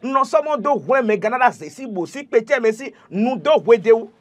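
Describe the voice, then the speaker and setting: A man's voice: extremely high pitch, animated, moderate pace. A middle-aged Beninese man speaking emphatically and repetitively as a traditional healer promoting himself on a TV talk show. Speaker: traditional healer, studio guest. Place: TV studio. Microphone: lapel mic.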